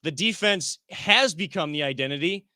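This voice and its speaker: A man leads a casual sports discussion in a bright, somewhat high voice. He works through his points aloud and restarts his sentences often. The recording is close and dry. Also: lively intonation, normal speed, dry recording. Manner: thinks aloud with frequent restarts. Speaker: sports podcast host. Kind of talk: casual sports talk show.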